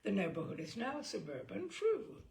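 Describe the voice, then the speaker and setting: A woman's voice: medium pitch, huge pitch swings, normal speed, gravelly, a little mellow. A woman voicing a mischievous English lord of about sixty, grand and leisurely, relishing his words, in a storybook reading. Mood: jaunty, nostalgic. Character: jaunty, mischievous sixty-ish English lord, an incorrigible uncle.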